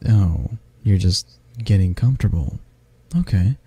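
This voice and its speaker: A man as a gravelly, low, flirty half-dragon. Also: animated, no echo, full character voice. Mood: playful, cuddly. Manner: flirty, gentle, teasing, halting. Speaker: roleplay voice actor. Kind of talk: intimate ASMR roleplay.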